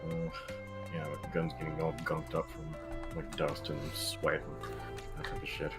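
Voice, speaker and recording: Low-voiced laid-back male streamer. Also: monotone, moderate pace, loud music behind the voice. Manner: laid-back, rambling with many fillers. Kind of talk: casual livestream chat.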